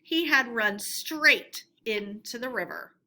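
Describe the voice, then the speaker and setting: A woman storyteller reading a storybook aloud in an expressive narrating style. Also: fairly high pitch, slow.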